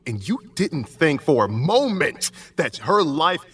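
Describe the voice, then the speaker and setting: Man playing an exasperated, scolding older father, animated. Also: a little high, unhurried, distant mic, pitched above the performer's own voice. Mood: scolding, bitter. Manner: exasperated, lecturing, sarcastic.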